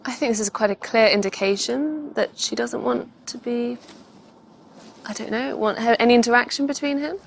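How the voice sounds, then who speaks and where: A woman's voice: fairly high pitch, moderate pace. A woman giving thoughtful, casual advice as an unscripted co-commentator. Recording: clear background ambient noise.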